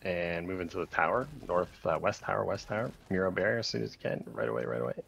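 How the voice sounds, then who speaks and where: A man leading a raid group over voice chat, calling short commands in rapid repeated bursts with countdowns. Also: deep voice, gravelly, a bit bright, slightly rough.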